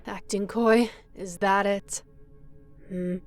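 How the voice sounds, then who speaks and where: Thriller audio roleplay: actress as menacing female keeper, mid-pitched, unhurried, mocking, intimate, breathy whispered turns. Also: steady tone, faint music behind. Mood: coolly probing. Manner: slow, taunting, drifting into breathy whispers. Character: menacing, mocking female lighthouse keeper taunting her captive.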